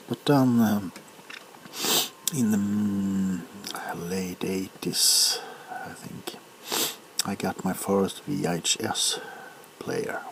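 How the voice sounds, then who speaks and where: A man in his forties from Sweden chatting in soft-spoken ASMR style, hesitant and unhurried, often drifting into whispers. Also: deep voice, low-quality recording. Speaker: ASMR video host. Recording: faint background noise.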